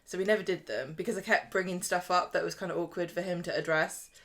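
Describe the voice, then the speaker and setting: Female podcast co-host, slightly low voice, informal and meandering. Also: steady tone, fast talker, fairly bright tone. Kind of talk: casual chat about a TV soap.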